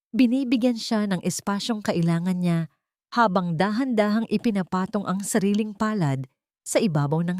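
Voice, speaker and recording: A woman's voice: lowish voice, darkish tone, no room sound, studio quality. A woman with a Filipino accent reading a dramatic romance story as a scripted narration.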